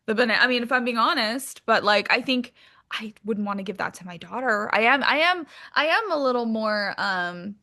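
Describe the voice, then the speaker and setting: A woman's voice: a little high, lively intonation, a little fast, sharp tone. A woman chatting casually as an interview guest, candid and very informal in her wording. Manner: candid, informal, peppered with "like", mild swearing. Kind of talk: casual chat.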